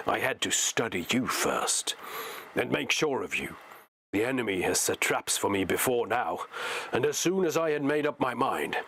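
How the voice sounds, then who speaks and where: A man voicing a guarded, weary male ranger in a storybook, explaining himself briskly. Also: lowish voice, bright, forceful tone, breathy edge, pitched below the performer's own voice, heavily acted.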